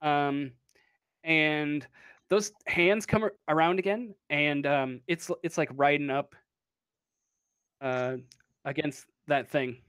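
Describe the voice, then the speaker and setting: A game master improvising narration for a tabletop roleplaying game, pausing often to think. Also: medium pitch, fairly even intonation, a bit bright, clear, resonant tone, no echo, clean studio sound.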